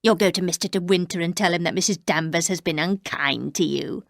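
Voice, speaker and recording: A woman voicing a bitter, menacing older housekeeper who speaks slowly and coldly, often dropping to a whisper. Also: vocal fry, dry recording, clean studio sound. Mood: cold menace. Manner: slow, low, cold, often whispered.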